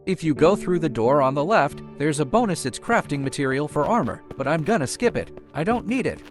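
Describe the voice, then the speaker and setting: A man's voice: on the high side, very animated, brisk, over music. A man giving a chatty, informal, playfully boastful video game walkthrough commentary, talking casually to viewers.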